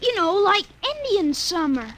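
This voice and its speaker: An eager little boy in a radio play, very high and childlike. The delivery swoops about unhurriedly, with clear background noise. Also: big pitch swings, unhurried, heavily acted. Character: eager young boy heading outdoors. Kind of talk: radio drama acting.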